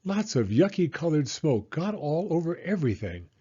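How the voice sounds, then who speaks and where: A man reading a children's picture book aloud in an expressive, animated way, as a storyteller speaking to young listeners. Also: on the high side, normal speed, slightly rough, muffled recording. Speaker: storyteller, former school teacher.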